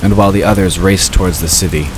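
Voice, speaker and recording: A man's voice: deep voice, steady tone, fast talker, noisy background. A man narrating a scripted fantasy story as the narrator of an audio drama.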